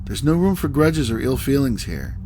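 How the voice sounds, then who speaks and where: Starship captain, man acting, mid-pitched, calm authority, measured orders, sci-fi audio drama over clear ambient noise. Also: lightly acted. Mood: firm, reassuring.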